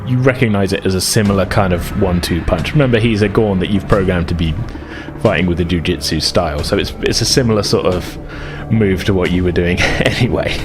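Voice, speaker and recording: A man's voice: deep voice, fairly quick, over music. A man acting as game master, narrating a tabletop game in an improvised, hesitant, conversational way.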